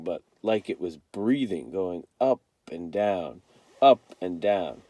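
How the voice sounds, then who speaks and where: A man narrates a children's novel in the first person. His voice is soft-edged and mellow, moving freely in pitch as he tells the story. The sound is dry and close. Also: no echo. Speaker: read-aloud storyteller.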